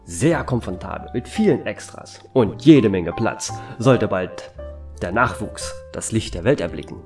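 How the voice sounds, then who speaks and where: A good-natured, easily flustered salesman acted by a man in an audio drama. He is polite and talks with animated swings in pitch, over faint music. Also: measured pace. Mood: eager, polite. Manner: polite, stammering when flustered.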